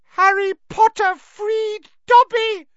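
A man voicing a small, devoted male house-elf servant in an audiobook, shrill and emphatic, speaking of himself in the third person. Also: steady tone, slow, a bit bright, no room sound, highs cut off, full character voice. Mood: elated. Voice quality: shrill, squealing.

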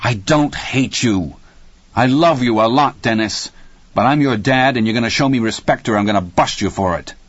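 A man voicing a stern, strained father with a quick temper and a forceful, grim manner, as in an audiobook. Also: low-pitched, expressive, gravelly, slightly rough, no room sound, highs cut off, heavily acted. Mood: firm.

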